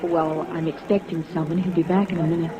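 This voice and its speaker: An actress playing a guarded, distressed widow, polite and hesitant, in a 1950s radio drama style. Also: female voice, sits low, little pitch movement, brisk, close to an everyday voice. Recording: dull vintage radio recording, background murmur.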